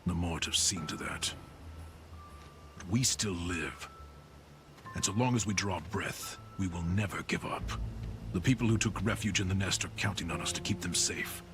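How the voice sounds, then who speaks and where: A man playing a grim, resolute mercenary lieutenant in a video game, stern, grave and measured. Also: low-pitched, over music. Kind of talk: dramatic video game acting.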